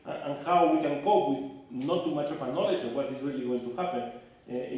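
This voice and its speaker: Male presenter, quick and hesitant delivery, mellow voice, far-off echoey hall recording. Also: medium pitch. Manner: hesitant, repeats words. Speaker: conference presenter. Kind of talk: academic presentation. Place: large conference hall.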